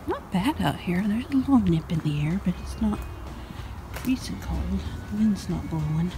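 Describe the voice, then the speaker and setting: A woman's voice: animated, normal speed, background noise. Older woman cooing at her animals. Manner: talks sweetly to dogs and chickens in a high sing-song. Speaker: vlogger. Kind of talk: casual narration while doing farm chores. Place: backyard and shed at night, outdoors. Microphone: handheld phone camera.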